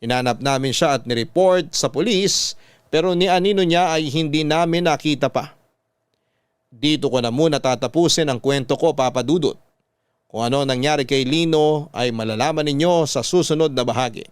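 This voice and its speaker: Smooth, higher-voiced Filipino male story narrator. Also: normal speed, dry recording. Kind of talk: scripted first-person story narration.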